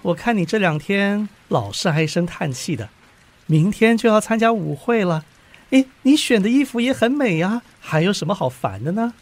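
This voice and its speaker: An actor playing an eager, doting husband, a modest clerk in a radio drama, with an earnest, easily flustered manner. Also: male voice, high-pitched, moderate pace. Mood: soothing. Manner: earnest, animated, stammering when flustered.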